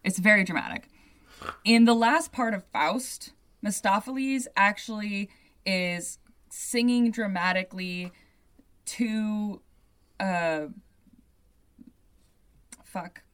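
A young adult woman with a deep voice, hosting a podcast and telling a story in a loose, chatty way full of "like" asides. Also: slow pace, long pauses, a bit bright. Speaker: podcast host. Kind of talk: casual storytelling chat.

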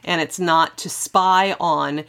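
A woman's voice: on the low side, fairly even intonation, slow, vocal fry, fairly bright tone. A woman hosting a conversational podcast interview in an encouraging, affirming way. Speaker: podcast host.

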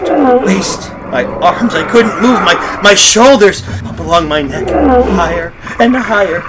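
An actor playing a guilt-ridden, terrified dead man in a horror radio play, narrating melodramatically and haltingly with repeated phrases. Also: sits high, lively intonation, very noisy. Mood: frantic, tormented.

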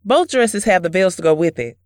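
A woman's voice: fairly low pitch, animated, fairly quick, a little mellow, no echo, natural voice. A woman playing an eager young bride-to-be daughter in an audio drama.

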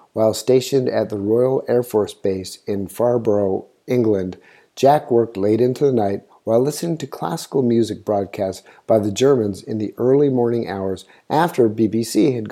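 A man's voice: lowish voice, moderate pace, warm tone. A man hosting a history show, reading a scripted narration in an informative storytelling way, now and then stumbling over a word. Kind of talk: scripted narration, read aloud.

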